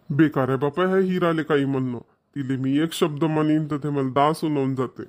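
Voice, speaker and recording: A man's voice: medium pitch, natural voice. A man with a Varhadi Marathi accent voicing a resigned, grumbling middle-aged village husband in a cartoon comedy. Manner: complaining, colloquial.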